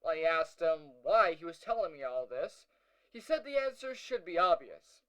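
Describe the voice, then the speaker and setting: A man's voice: fairly high pitch, very animated, normal speed, a bit bright, clear, resonant tone, rough recording. A man playing an uneasy, wry young hero who narrates a fiction audio log in a casual, hesitant, conversational way. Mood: explanatory, serious. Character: young male hero recounting a meeting with Lucifer, wry, uneasy.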